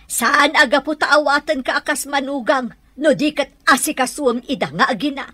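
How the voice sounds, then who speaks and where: A woman with an Ilocano accent playing a bossy, nosy middle-aged mother in a radio drama, with a husky voice. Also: high-pitched, moderate pace, bright, forceful tone, no echo. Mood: firm, advising.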